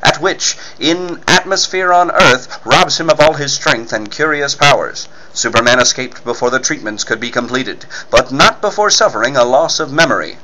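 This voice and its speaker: A man's voice: medium pitch, moderate pace, hardly any pauses, bright, forceful tone, poor audio. A man reading scripted narration and ad copy as an emphatic, punchy 1940s-style radio announcer. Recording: faint background noise.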